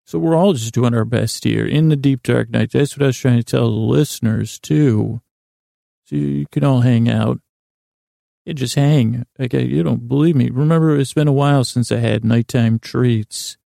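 A man hosting a bedtime podcast with an improvised, halting monologue, meandering into tangents and self-deprecating corrections. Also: medium pitch, creaky, dark tone, no room sound, clean studio sound. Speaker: podcast host.

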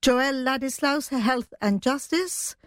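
A female news editor speaks in a slightly high voice, recorded close and dry. She reports conversationally, hesitating and thinking aloud between points. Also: a little slow, no room sound.

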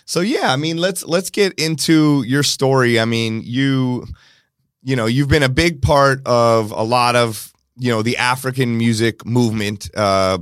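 A man's voice: mid-range voice, a little slow, clean tone, no room sound, clean studio sound. A middle-aged man hosting a podcast, chatting enthusiastically in long rambling sentences. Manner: enthusiastic, rambling with many "you know"s. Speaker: podcast host. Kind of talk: casual interview.

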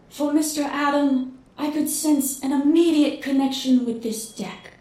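Mystic fortune teller, female actor: slow, ominous, high-set voice, distant and echoey. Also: mellow. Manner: portentous, mystical.

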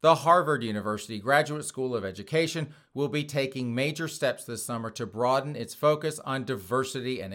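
Hoarse male host, sarcastic, laughs through his lines, mid-pitched voice, casual mocking commentary. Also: a bit bright. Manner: sarcastic, laughs often between phrases. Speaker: podcast host.

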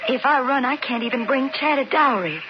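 A wary young rancher's daughter in a Western radio play. Her American voice is a bit high and earnest. The old recording is muffled, with clear noise. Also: expressive, fairly quick. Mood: anxious. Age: young adult. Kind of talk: radio Western drama acting. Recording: muffled, noisy vintage radio broadcast.

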